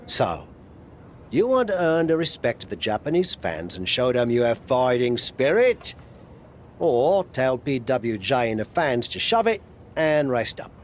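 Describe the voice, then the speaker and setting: A man's voice: mid-range voice, big pitch swings, measured pace, a little hiss or hum. An actor playing a straight-talking male wrestling mentor giving candid advice in a video game cutscene. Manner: candid, advisory.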